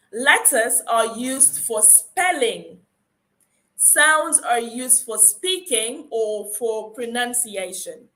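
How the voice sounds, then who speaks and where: Nigerian woman lecturer, high bright voice, encouraging and emphatic phonics teacher. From Akwa Ibom, Nigeria. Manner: encouraging, emphatic, repeats key points. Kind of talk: conference lecture.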